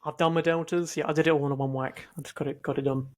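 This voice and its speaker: Higher-pitched man, easygoing. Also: flat delivery, fast. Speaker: podcast co-host. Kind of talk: casual banter, unscripted tech chat.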